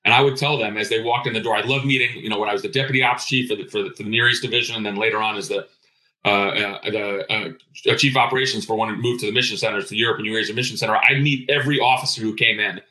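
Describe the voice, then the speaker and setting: Fast-talking middle-aged man, bright voice. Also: fairly low pitch, steady tone, low-quality recording. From born in Greece. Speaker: interview guest, retired intelligence officer. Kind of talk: personal storytelling in an interview.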